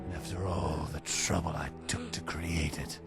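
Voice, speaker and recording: A gravelly sneering vampire lord. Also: male voice, a bass voice, flat delivery. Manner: sneering, slow and gloating. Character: menacing male vampire lord, scornful and cruel. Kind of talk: video game cutscene acting. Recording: game cutscene with loud music.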